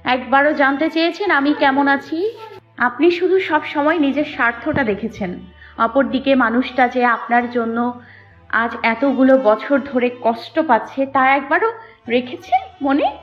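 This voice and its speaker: A woman's voice: sits high, unhurried. A woman playing a wronged, anguished and defiant young mother in an emotional romantic audio drama. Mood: anguished, accusing. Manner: emotional, pleading and accusing delivery. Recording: muffled audio with music behind. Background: background music.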